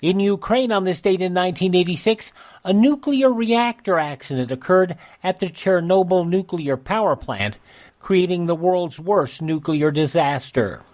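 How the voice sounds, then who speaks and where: A man's voice: high voice, expressive, normal speed, a little mellow. A man hosting a radio show, reading a scripted narration of historical events.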